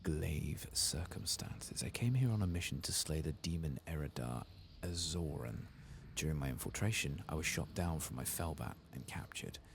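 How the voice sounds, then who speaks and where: A man with an extremely low, crackling voice hosts a gaming ASMR session. He speaks softly and unhurriedly, sometimes slipping into a whisper, in a hushed mutter. Noise is clearly present behind him. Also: lively intonation, moderate pace, hardly any pauses. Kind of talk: soft-spoken, whispered ASMR game playthrough.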